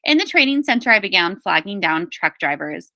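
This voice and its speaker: A woman reads a story aloud with expressive emphasis. Her voice is bright and forward, at an average pitch. The sound is dry, with very little room on it. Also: a little fast, no room sound. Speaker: teacher reading a book aloud. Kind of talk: scripted audiobook-style narration of a children's memoir.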